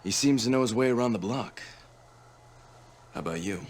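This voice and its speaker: An actor playing a tough, sardonic officer and stern older brother in a video game cutscene, gruff and commanding. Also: male voice, mid-range voice, normal speed, lightly acted. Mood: sardonic, drilling.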